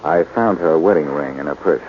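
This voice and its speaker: A cocky american detective from a 40s radio drama, deep voice, dull hissy recording. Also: male voice. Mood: calm, sympathetic. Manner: brisk, wry, confident. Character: cocky, quick-witted male amateur sleuth. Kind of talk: 1940s radio acting. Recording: muffled, noisy 1940s radio recording.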